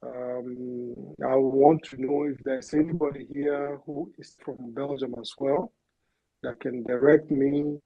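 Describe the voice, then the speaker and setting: A man calling into an online audio room, speaking haltingly with many pauses and restarts. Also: mid-range voice, steady tone, vocal fry, narrow, tinny audio. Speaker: caller. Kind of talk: hesitant call-in speech. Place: living in Belgium.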